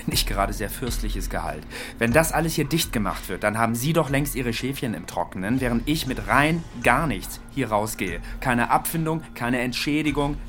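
An actor in a radio play playing a sly employee turned blackmailer, sardonic, mock-obliging and slow to get to the point. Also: male voice, mid-range voice, a bit bright, lightly acted.

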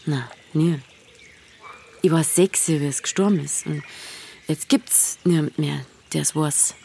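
A woman's voice: sits low, slow, creaky, warm tone, a little hiss or hum, full character voice. An actress playing a blunt, earthy young village woman with a Bavarian accent in a radio drama, dry and plain-spoken. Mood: reflective, blunt. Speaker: lead actor and narrator. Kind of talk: radio drama narration and dialogue.

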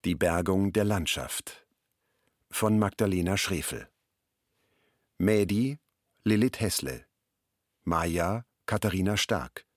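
A man reading radio drama credits as a formal announcer, item by item in a measured way. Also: low-pitched, lively intonation, no echo, clean studio sound.

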